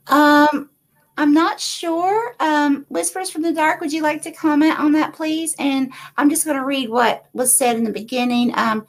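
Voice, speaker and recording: A woman with a high-pitched voice hosts a live stream and reads viewer comments aloud. She reacts to each one with effusive enthusiasm. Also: unhurried. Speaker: host of a paranormal interview show.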